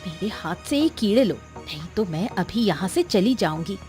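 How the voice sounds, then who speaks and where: A woman plays a squeamish, nagging cartoon wife. Her mid-pitched voice is fretful and insistent as she complains, with soft music behind her. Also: animated, normal speed, lightly acted. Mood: annoyed. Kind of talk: Hindi moral-story cartoon dubbing.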